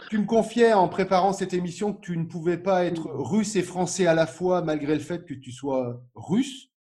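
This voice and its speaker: A male podcast host with a slightly higher-than-usual voice. He asks friendly questions and introduces guests, restarting a few phrases along the way. He sounds casual and conversational. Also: normal speed, dark tone.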